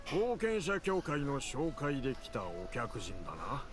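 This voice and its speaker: An actor playing a worldly, nonchalant mercenary in a Japanese video game, casual and rough-spoken as he explains things. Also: medium pitch, wildly swooping pitch, unhurried. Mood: welcoming, frank. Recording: game soundtrack music behind voice.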